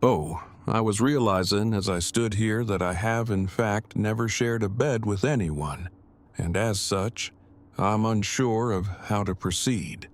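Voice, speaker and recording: A man acts out a stiff, bookish survivor in an audio drama. His voice is on the low side, and he talks in formal, overly precise, long-winded phrases with a nervous awkwardness. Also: unhurried, lightly acted. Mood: awkward, nervous. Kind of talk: fan audio drama acting.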